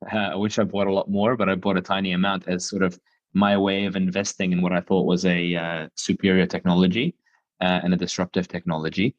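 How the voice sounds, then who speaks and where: A young adult man from Dubai, a surgeon, answering podcast interview questions in a measured, explanatory way with frequent restarts. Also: deep voice, a little mellow. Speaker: guest, surgeon. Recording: dull lo-fi sound.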